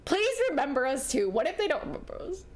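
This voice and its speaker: A woman's voice: sits high, sing-song, fast talker. A young woman from rural New York, chatting casually and rambling with lots of fillers while streaming a game. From rural New York, USA. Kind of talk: casual chat while gaming. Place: home, living in Japan.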